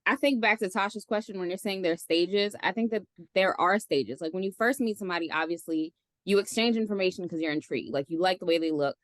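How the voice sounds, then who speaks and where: A woman's voice: on the low side, fast talker, dry recording, studio quality. A woman in her late thirties, a podcast guest giving blunt opinions with casual swearing. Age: 30s.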